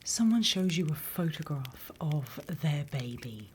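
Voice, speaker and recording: A British woman whispering in an ASMR roleplay as a stern, dry demon instructor, breathy and close to the microphone. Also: deep voice, expressive. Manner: slow, deliberate, dry instructing. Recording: close whisper with background hiss.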